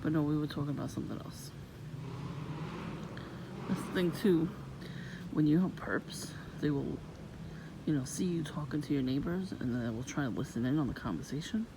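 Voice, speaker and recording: A woman with a low voice, vlogging in a soft-spoken, rambling and suspicious way outdoors near her home. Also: moderate pace, long pauses. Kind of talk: casual rambling monologue. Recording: noisy outdoor ambience.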